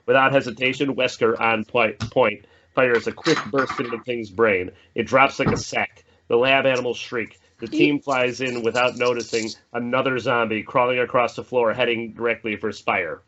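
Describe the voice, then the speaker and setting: A man's voice: mid-range voice, fairly even intonation, normal speed, few pauses, a bit bright. A man reading out the stage directions of a horror screenplay as narrator at a table read. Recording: slightly dull mic, faint noise.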